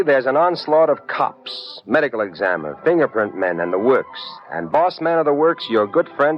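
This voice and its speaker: An actor playing a wry, hard-boiled private detective who narrates and speaks in a vintage radio drama. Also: male voice, mid-range voice, natural voice. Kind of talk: 1940s radio acting. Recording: muffled old radio recording with music.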